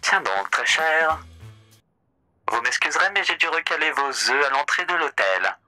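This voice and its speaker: A man's voice: a little high, lively intonation, moderate pace, sharp tone, faint music behind. A man voicing a suave, theatrical male demon who runs a hotel, courtly and mocking in a voice roleplay. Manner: grandiloquent, courtly and sardonic.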